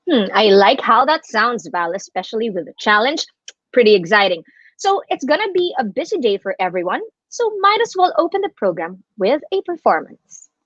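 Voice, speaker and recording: A Filipina co-host runs an online town hall in a bright, animated voice that rises and falls a lot, mixing English with Tagalog. The sound is dry and somewhat dull, like a lo-fi home setup. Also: female voice, on the high side, moderate pace. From Philippines. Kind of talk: scripted event hosting.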